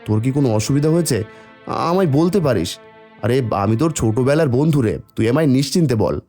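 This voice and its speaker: A man playing a kind, humble village vegetable seller and father in an animated story, speaking in an earnest, pleading way. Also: mid-range voice, fast talker, faint music behind, close to an everyday voice. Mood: friendly concern. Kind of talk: animated Bengali moral story dialogue.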